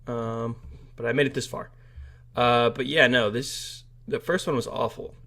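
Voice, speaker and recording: A man's voice: mid-range voice, fairly even intonation, a little slow, a bit bright. A man speaks as a podcast co-host in a casual chat style. He is blunt and slangy, giving opinions in short conversational bursts. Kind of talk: casual film review chat.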